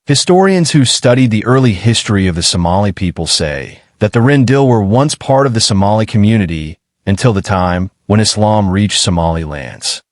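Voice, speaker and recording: A man hosting and narrating a documentary, reading a measured, explanatory script and addressing viewers directly. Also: on the low side, animated, dry recording, clean studio sound.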